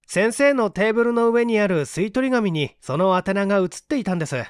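A man voicing an earnest, quick-witted young male assistant who speaks urgently and politely in a dramatic story reading. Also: high-pitched, lively intonation, clear, resonant tone, no room sound, clean studio sound. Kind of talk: dramatic audiobook character reading.

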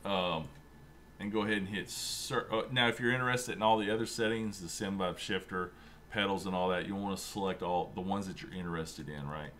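Thirties male host, low register, easygoing filler-heavy walkthrough at his home desk. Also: brisk, a little hiss or hum. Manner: relaxed, chatty, many fillers, self-described monotone. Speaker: sim racing channel host. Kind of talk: casual screen-share tutorial. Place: home room with a racing rig.

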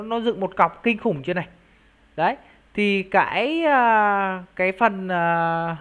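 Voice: medium pitch, fairly bright tone. A video host giving an informal screen-share walkthrough, explaining things directly to viewers. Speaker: YouTube crypto project reviewer and channel host.

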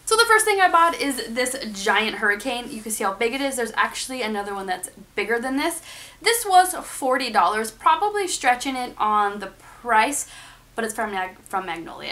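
Chatty high-voiced woman. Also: sing-song, a little fast, pushed and bright. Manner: chatty, upbeat, talks to the camera. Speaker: vlogger. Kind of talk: casual shopping haul vlog. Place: bedroom at home, indoors. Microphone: camera in front of her face.

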